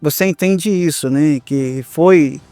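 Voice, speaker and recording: A man's voice: a little high, slow pace, warm tone, smooth, faint music behind. A Brazilian man hosting a conversational podcast interview, affirming and echoing what his guest says. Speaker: podcast host.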